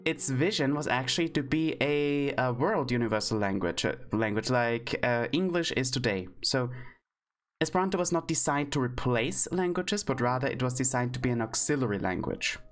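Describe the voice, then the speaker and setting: Woman, extremely low voice, casual streamer lesson, thinking aloud, faint background music. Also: expressive, normal speed. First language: German. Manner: thinking aloud, frequent fillers and self-corrections. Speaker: streamer teaching Esperanto.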